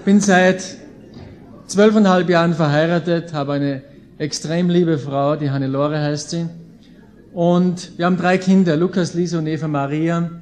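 A man's voice: a little high, steady tone, a little slow, darkish tone. A man in his late thirties with an Austrian accent, preaching to a live audience in an informal, folksy way with emphasis on key phrases. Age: late 30s. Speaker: visiting preacher, Bible school leader. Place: indoor gathering with an audience.

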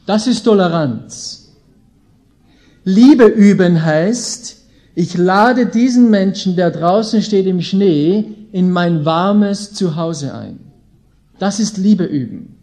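A man's voice: sits high, darkish tone. A man in his late thirties with an Austrian accent, preaching to a live audience in an informal, folksy way with emphasis on key phrases. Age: late 30s. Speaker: visiting preacher, Bible school leader. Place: indoor gathering with an audience.

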